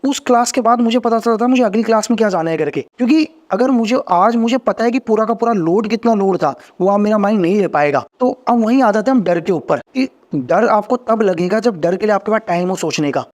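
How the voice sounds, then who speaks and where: A man's voice: high voice, expressive, a little mellow. A middle-aged man, a former agent and podcast guest, telling his story in a casual interview, earnest and repeating phrases as he explains. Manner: rapid, earnest, repeats phrases, rising energy when explaining.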